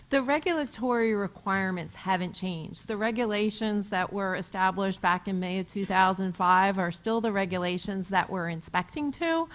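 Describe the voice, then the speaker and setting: A woman panelist answering a question, explaining in a measured way with some fillers. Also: mid-range voice. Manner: explanatory, repeats herself with fillers. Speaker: panelist from a regulator. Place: conference hall. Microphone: panel microphone.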